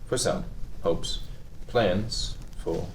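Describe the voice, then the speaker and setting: A man playing a low-voiced, stiff patrician tycoon. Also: very slow, vocal fry, full character voice. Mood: measured, cool. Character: Joe Kennedy Senior, stiff humorless patrician tycoon in his forties. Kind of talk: table-read acting of a TV script. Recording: roomy, distant mic with noise.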